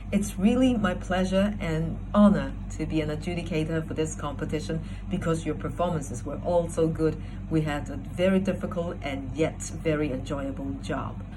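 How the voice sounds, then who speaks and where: Low-voiced woman judge giving feedback. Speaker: competition judge. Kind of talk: explanatory spoken feedback. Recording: clear background noise.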